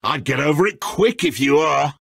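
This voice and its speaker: An actor playing a petulant, theatrical godlike video game villain, grandiose and mock-friendly with a sulky streak and a raspy edge. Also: male voice, on the high side, very animated, unhurried, a bit bright, no echo, studio quality, full character voice. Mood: menacing, bitter. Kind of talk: theatrical game character acting.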